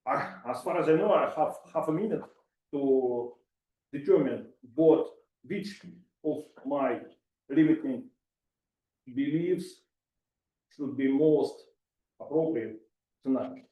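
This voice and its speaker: A man's voice: mid-range voice, slow pace, pauses often, dull audio. Hesitant man, distant and echoey. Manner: hesitant, filler-heavy, formal phrasing. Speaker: impromptu speaker. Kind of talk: impromptu speech. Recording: echoey room, voice from far off.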